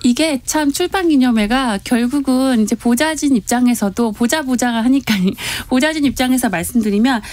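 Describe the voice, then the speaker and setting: High-voiced woman giving measured panel commentary. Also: a little mellow. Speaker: political aide, panel guest. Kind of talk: conversational radio panel commentary. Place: radio studio.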